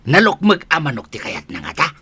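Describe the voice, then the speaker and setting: A man's voice: a little high, huge pitch swings, fairly quick, pushed and bright, husky, no room sound, heavily acted. A man playing an eager, helpful, comic villager in a radio comedy drama, with sputtering exclamations.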